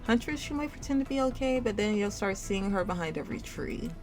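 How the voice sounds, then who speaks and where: A woman's voice: mid-range voice, expressive, a little fast, a little mellow, soft background music. A woman reading a game story aloud in a theatrical storytime style, shifting her voice between characters. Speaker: streamer reading a visual novel game aloud.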